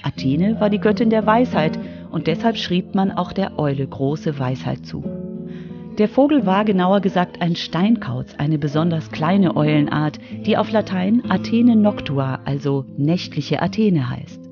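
A woman's voice: on the low side, expressive, unhurried, dull audio, music in the background. A woman reading scripted narration as the reporter of a children's radio feature.

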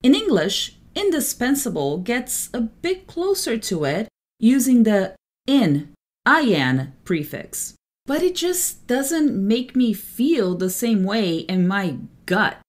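Lively female podcast host, native Portuguese speaker. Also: fairly high pitch, slow. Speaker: translator. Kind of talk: solo podcast monologue.

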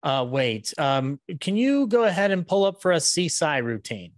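A man, chatting casually in a halting, enthusiastic way into a close podcast mic. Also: fairly high pitch, very animated, no room sound. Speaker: podcaster, panel host. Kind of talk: casual chat. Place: small room at home, on a video call. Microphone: close podcast microphone on boom arm.

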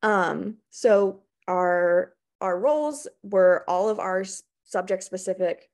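A young American woman giving an informal, explanatory presentation as an academic librarian on a video call, pausing often with ums. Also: mid-range voice, darkish tone. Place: small indoor room on a video call.

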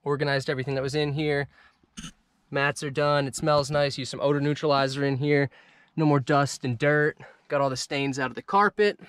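A man in his car shop casually showing off the day's work, with loose, rambling talk full of fillers and restarts. His voice sits at an ordinary pitch, and the camera mic picks up faint noise behind him. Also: fairly even intonation, a little fast, fairly bright tone. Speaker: auto detailing and wrap shop owner. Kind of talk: casual shop vlog walkthrough. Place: car shop and lot, in and out of doors.

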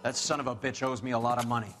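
A man's voice: mid-range voice, fairly even intonation, rapid-fire, music in the background, close to an everyday voice. A man playing a crude, hot-tempered, crooked police detective in a video game. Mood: threatening. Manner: brash, foul-mouthed. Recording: loud background noise.

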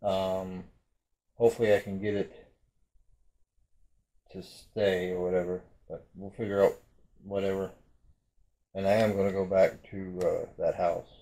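Middle-aged male vlogger, deep and warm, hesitant and chatty, close camera mic in a bedroom. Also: flat delivery, a little slow, pauses often, rough recording. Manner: hesitant, many fillers and restarts. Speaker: urban exploration vlogger. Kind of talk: casual rambling vlog talk. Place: bedroom at home, indoors. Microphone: webcam or phone at arm's length.

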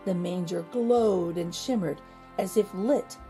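A middle-aged woman hosting a children's read-aloud, narrating in an expressive, deliberate, teacherly way. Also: fairly low pitch. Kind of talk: storybook narration. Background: music.

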